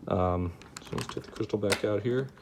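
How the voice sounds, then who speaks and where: A man's voice: lowish voice, moderate pace. Man, casual demo narration, talking while working, handling clicks, noisy recording. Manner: informal, talks to himself while working. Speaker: video presenter demonstrating a gadget. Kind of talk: casual hands-on demonstration. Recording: clear background noise, handling clicks.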